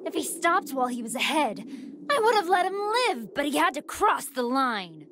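A woman's voice: high voice, big pitch swings, normal speed, a bit bright, slight background noise. A woman voicing a sweet-toothed, playfully cruel female android villain in an anime fighting game, with theatrical, taunting delivery. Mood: smug, gloating.

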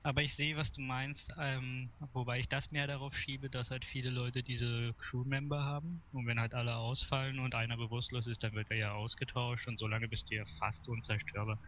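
A man's voice: mid-range voice, level tone, fairly quick, hardly any pauses. A man chatting casually as a gamer over online voice chat. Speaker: gamer joining a squad. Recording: muffled voice chat with clear background noise.

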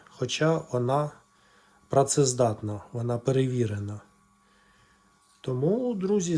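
A man casually walking viewers through a hands-on electronics repair, explaining haltingly as he thinks aloud. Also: medium pitch, mellow. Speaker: electronics repair video presenter.

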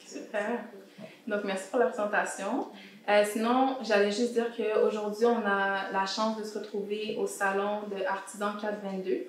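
A woman's voice: mid-range voice, flat delivery, moderate pace, a little hiss or hum. A young woman hosting a casual round-table discussion, guiding her guests with questions. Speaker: host and founder of a round-table show. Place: indoor salon with brick walls. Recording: echoey room.

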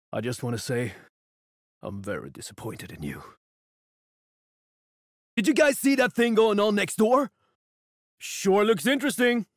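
A man's voice: high voice, no echo, clean studio sound. A man voicing a jittery, unhinged criminal bluffing as a guard in a video game, hesitant and oddly morbid. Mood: awkward, uneasy. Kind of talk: game voice lines over radio.

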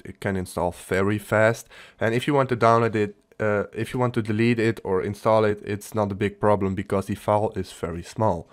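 Man with a lowish voice narrating a tutorial. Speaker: tutorial host. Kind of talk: casual software tutorial narration.

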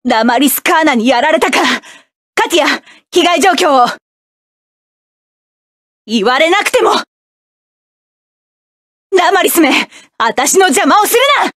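A woman's voice: sits high, expressive, a little slow, long pauses, a bit bright, no room sound, studio quality. An actress playing a proud, cool-headed female mecha pilot who barks clipped, scornful battle lines. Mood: fierce. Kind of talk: video game battle voice lines.

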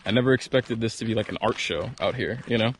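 A man's voice: on the low side, quick pace. A man with an American accent chatting casually and informally as a vlog host outdoors. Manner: friendly, informal, talks with slang. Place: outdoors in the open. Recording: noisy outdoor recording with vehicle sounds.

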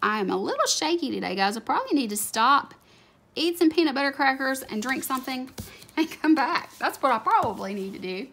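A woman's voice: high-pitched, big pitch swings, a little fast, gravelly, a bit bright. A woman with a slightly scratchy voice chatting casually while she decorates a planner at her desk, gushing over cute stickers. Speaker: planner video creator. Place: home desk, overhead camera on hands. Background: faint room ambience, a dog snoring.

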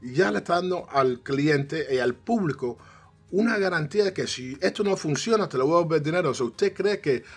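A middle-aged man, a financial strategist guest, talking in an animated way, mixing in English words and restarting often. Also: on the high side, brisk, breathy edge, faint music behind. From United States, of Cuban parents. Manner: animated, gestures a lot, frequent restarts and English "so". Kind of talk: casual interview talk. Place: TV studio set, seated on armchair.

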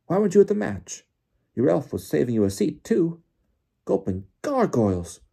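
A man voicing a flustered, excitable wizard official in an audiobook, breathless and full of exclaimed questions. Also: a little high, normal speed, creaky, slightly rough, voice pushed up, exaggerated voice. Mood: startled, incredulous. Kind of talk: audiobook character voice.

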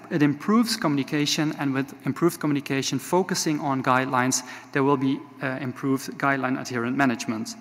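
Dutch guy in his 40s giving a conference talk, bit high voice, lots of uh's, big echoey hall. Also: fairly bright tone. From Netherlands. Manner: measured, with frequent "uh" fillers. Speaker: conference speaker.

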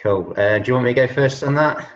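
A man's voice: medium pitch, fast. A man in his fifties, a health and wellness coach, chatting casually on a video call from a room at home, with many fillers and restarts. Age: 50s. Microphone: laptop webcam on a video call. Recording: dull lo-fi video call audio.

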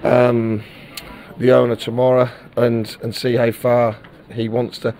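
A man's voice: mid-range voice, level tone, measured pace, darkish tone. A middle-aged man, a football manager giving a frank post-match interview and often repeating words as he searches for them.

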